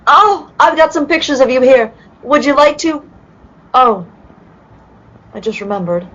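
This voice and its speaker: A woman playing a polite, earnest young detective's companion in an old-time radio play, courteous and gentle. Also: high voice, animated, unhurried, heavily acted. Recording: muffled video-call audio.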